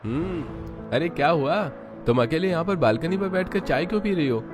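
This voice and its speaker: A man's voice: on the high side, animated, normal speed, over music, voice pushed up, natural voice. A man voicing a caring young husband in a cartoon family story. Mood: concerned, eager.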